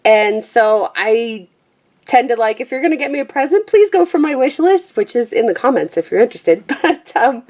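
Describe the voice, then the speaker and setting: A woman's voice: fairly high pitch, expressive, normal speed, a little mellow. Chatty woman rambling about her books. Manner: chatty, self-deprecating, trails off with fillers and guesses at names. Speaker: vlogger showing her colouring book collection. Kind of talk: casual rambling chat to camera. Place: small room at home with a pet squeaking nearby.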